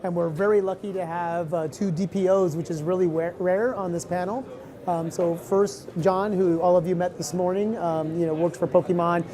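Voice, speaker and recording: A woman moderating a live panel discussion, talking quickly and casually with fillers. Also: on the low side, steady tone, a crowd in the background.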